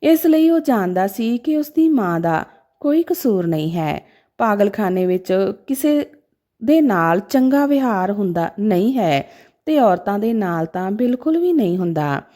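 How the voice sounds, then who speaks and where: A woman's voice: mid-range voice, big pitch swings, unhurried, mellow. A woman narrating a scripted story in a dramatic, emotive manner.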